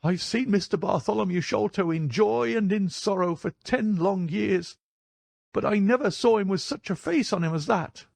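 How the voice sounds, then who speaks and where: A man's voice: sits high, normal speed, gravelly, a little mellow, no echo, voice pushed up. A man voicing a frightened, effusive old woman housekeeper in an audiobook, emotional and pleading. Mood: anxious pleading. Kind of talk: audiobook character voice.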